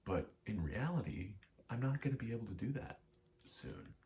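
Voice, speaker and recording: Very deep, creaky-voiced young man rambling casually. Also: lively intonation, normal speed, a little mellow, slight background noise. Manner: self-deprecating, profane. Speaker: ASMR video creator. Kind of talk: rambling casual ASMR talk.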